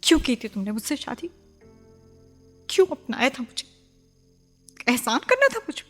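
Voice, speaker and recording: A high-pitched young wife in a radio play, wounded and insistent. She speaks with raw, confrontational intensity and a pleading edge. Soft music sits behind her. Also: very animated, moderate pace. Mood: demanding, hurt. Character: hurt, insistent young wife, once widowed, pleading for love. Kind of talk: Hindi radio drama acting.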